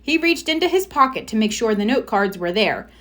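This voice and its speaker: Female children's storybook narrator, high, animated, expressive read-aloud. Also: brisk. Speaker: storybook reader.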